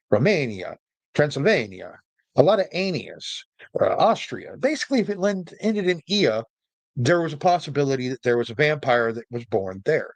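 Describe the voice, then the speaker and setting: A man's voice: medium pitch, no room sound. Chatty man hosting, dry close sound. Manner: chatty, jokey, rambling asides. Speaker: host and storyteller. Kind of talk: casual explainer monologue.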